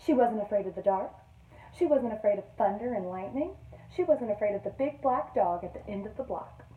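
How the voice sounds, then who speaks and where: A woman teacher reading a picture book aloud to young children in an expressive storytelling manner. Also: mid-range voice, fairly quick, phone-quality sound. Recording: roomy echo, distant microphone.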